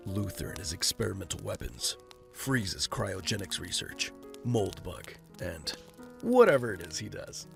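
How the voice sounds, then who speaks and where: Male actor as wry wealthy gentleman, lowish gravelly voice, dry and guarded, audio drama, music behind. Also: very animated, a little slow, heavily acted. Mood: amused. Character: wry, guarded rich gentleman, secretly a vigilante.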